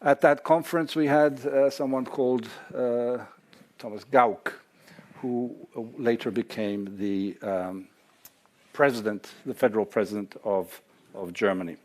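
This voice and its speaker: A man hosting a conference panel, introducing speakers with many hesitant pauses. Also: medium pitch, steady tone. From Serbia. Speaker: conference host. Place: lecture hall or library.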